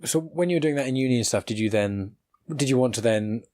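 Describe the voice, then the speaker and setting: A man's voice: medium pitch, fast, a little mellow, no room sound. A man hosting a casual interview podcast, asking rambling, wordy questions. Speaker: podcast host.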